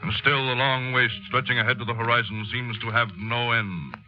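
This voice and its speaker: A man's voice: mid-range voice, steady tone, normal speed. A man narrating as a radio announcer in a solemn, emphatic 1930s newsreel style. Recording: muffled 1930s radio broadcast with music.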